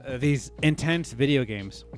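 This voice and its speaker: A man's voice: medium pitch, expressive, unhurried, faint music behind. A man co-hosting a podcast, talking casually with enthusiasm and slang. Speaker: podcast co-host. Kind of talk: casual chat.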